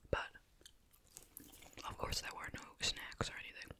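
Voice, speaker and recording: A woman whispering softly and breathily in a relaxed, rambling, conversational ASMR style, as the host of an eating video. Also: moderate pace. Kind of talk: whispered ASMR chit-chat, eating show. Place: at home in a residential house.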